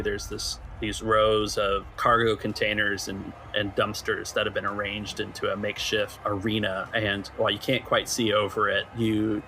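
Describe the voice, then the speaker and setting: A man narrating a tabletop roleplaying game as game master, setting scenes in a halting, conversational way. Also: on the low side, pushed and bright, slight background noise.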